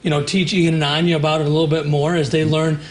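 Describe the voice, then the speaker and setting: A young man with a fairly high voice talks through a live studio interview as a sports director. He rambles a little and restarts his sentences, relaxed and easygoing. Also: fast talker, slight background noise. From South Dakota.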